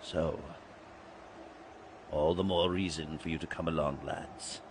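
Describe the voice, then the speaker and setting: An actor playing an eerie, grandiose guide to death and Halloween in an audio drama, swinging between hushed and booming delivery. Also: male voice, steady tone, unhurried, a little hiss or hum. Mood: coaxing, sly. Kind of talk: full-cast audio drama acting. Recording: loud music under the voice.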